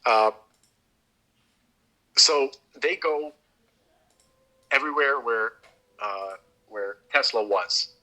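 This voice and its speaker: A man's voice: mid-range voice, very slow, long pauses, sharp tone, poor audio. A man co-hosting a podcast, telling a long story in casual chat, with many restarts. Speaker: podcast co-host.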